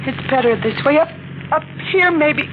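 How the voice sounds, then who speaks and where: An actress playing an anxious, haunted widow in a 1940s radio thriller, speaking haltingly and repeating her words. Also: high voice, background noise, natural voice. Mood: haunted, resigned. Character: frightened, fatalistic young widow, fearing her dead husband. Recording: muffled 1940s radio recording, music behind.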